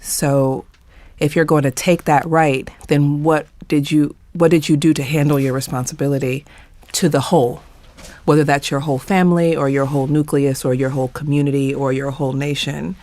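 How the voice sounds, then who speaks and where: A woman's voice: low voice, steady tone, darkish tone, dry recording. Emphatic, earnest panelist, a woman. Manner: emphatic, deliberate, repeats phrases for weight. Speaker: panelist in a discussion of a play. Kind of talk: earnest, reflective discussion.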